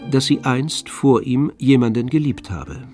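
An actor playing the detached, wry narrator of a literary radio play, with a gravelly voice. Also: male voice, mid-range voice, unhurried, a little mellow, faint music behind, natural voice.

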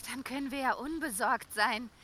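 An actress playing a younger river nymph sister, curious and carefree, in a fantasy radio play. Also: female voice, high voice, normal speed, pushed and bright. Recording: music behind the voice.

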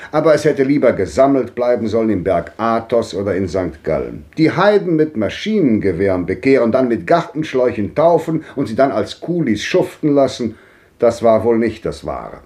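A man's voice: medium pitch, mellow, natural voice. An actor playing a cultured, world-weary old man who speaks in composed, rhetorical monologue in a 1950s radio drama. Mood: weary, detached. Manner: declamatory, rhetorical phrasing.

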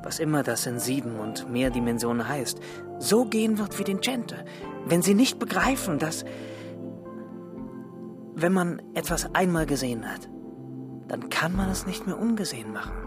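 An actor playing an earnest, brooding wanderer in a science-fiction radio drama, speaking pensively and deliberately. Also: medium pitch, lively intonation, music in the background, natural voice.

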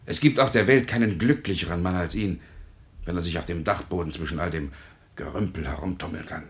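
An actor playing a cynical, world-weary doctor in a radio play, speaking in a deliberate, sardonic, lecturing way. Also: male voice, sits low. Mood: scornful. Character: cynical, world-weary male doctor, blunt yet concerned. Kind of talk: German radio play acting.

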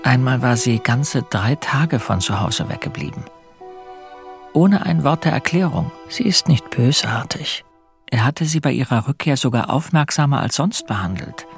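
Creaky-voiced, brooding husband. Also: male voice, medium pitch, animated, normal speed, soft background music. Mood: pensive. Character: brooding, forbearing married bookseller dwelling on his wife. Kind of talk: literary radio drama, inner monologue.

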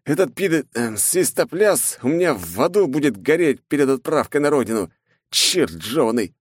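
A man's voice: mid-range voice, expressive, moderate pace, dry recording, studio quality, voice pushed up, natural voice. A male narrator voicing his first-person hero, a crude, irritable young man who swears. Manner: swearing, gruff, in pain. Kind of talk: audiobook character voice.

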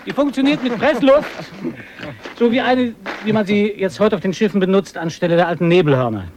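A man acting a proud, earnest and excitable polar explorer in a radio drama, thinking aloud expressively as if interviewed. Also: sits high, a little fast, noisy background, close to an everyday voice. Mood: earnest, animated. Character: excitable male polar adventurer, proud, earnest, devoted to his dog.

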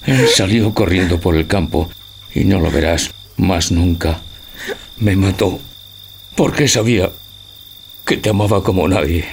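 A man's voice: sits low, a little slow, creaky, long pauses, a little hiss or hum. A man playing a lovelorn, brooding old husband in a theatrical radio tragicomedy, with grave, poetic declamation. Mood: dying, anguished. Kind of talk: theatrical Spanish radio drama acting.

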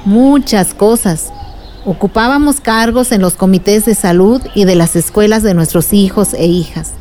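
A woman's voice: medium pitch, normal speed, warm tone, a little hiss or hum, natural voice. A woman playing a patient, wise village aunt who explains things in a radio drama. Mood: patient, earnest.

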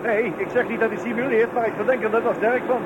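An actor playing a gruff, harried, impatient workshop boss in a radio play, brusque and unsympathetic, on a tinny, noisy recording. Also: male voice, very high-pitched, steady tone, fast talker. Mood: irritable, complaining. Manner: brusque, irritable. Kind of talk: Dutch radio play acting. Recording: tinny, band-limited sound with loud noise.

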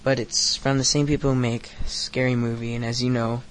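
A man's voice: mid-range voice, little pitch movement, brisk, slight background noise, rough recording. Profane teenage boy ranting on a podcast. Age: teens. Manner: crude, profane, rambling with many fillers. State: recovering from hoarseness. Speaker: podcast host. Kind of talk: casual solo podcast rant.

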